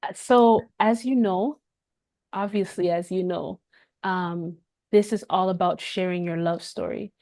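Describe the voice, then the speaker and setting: A woman's voice: on the low side, fairly even intonation, a little slow, a little mellow, no room sound. A woman hosting a casual livestream, talking in a rambling way with many restarts and fillers. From Canada. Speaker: livestream host.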